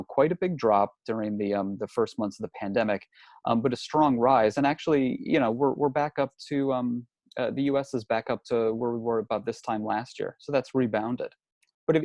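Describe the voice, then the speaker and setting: Low-voiced man giving a casual webinar. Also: a little mellow, no echo. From not from New Zealand. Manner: conversational, repeats words and restarts often. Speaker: real estate industry analyst presenting a webinar. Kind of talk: casual presentation talking through slides. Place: hotel room.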